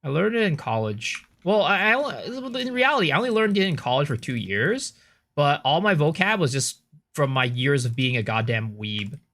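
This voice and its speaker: A young man whose first language is Cantonese, chatting casually with his viewers while he plays a game on stream. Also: on the high side. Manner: chatty, relaxed, answers chat with quick asides.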